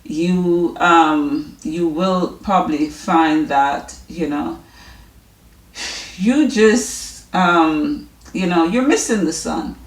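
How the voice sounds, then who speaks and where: Slow-talking Jamaican woman in an echoey room. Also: lowish voice. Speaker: health and wellness vlog host. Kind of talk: casual rambling chat to viewers. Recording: echoey room, distant mic.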